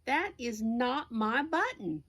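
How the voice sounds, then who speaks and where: A woman's voice: a little high, very animated, takes it slowly, fairly bright tone, no room sound, voice pushed up, exaggerated voice. A woman voicing a grumpy, fussing male toad from a children's storybook, complaining emphatically. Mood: exasperated.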